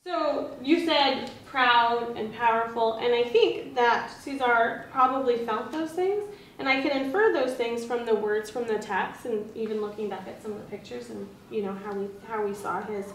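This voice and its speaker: A woman with a fairly high voice leads a classroom discussion as a trainee teacher, guiding it with encouraging questions. She is picked up from a distance in a roomy space, with clear noise behind her. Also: normal speed, fairly bright tone. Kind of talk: leading an interactive read-aloud discussion. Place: indoor room with background noise.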